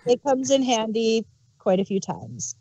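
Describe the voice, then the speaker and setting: Slow-talking, laid-back woman co-host. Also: on the high side, big pitch swings, slightly rough, highs cut off. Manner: slow, laid-back, brief agreeing replies with soft laughs. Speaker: podcast co-host reviewing an audio drama. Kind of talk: casual review chat.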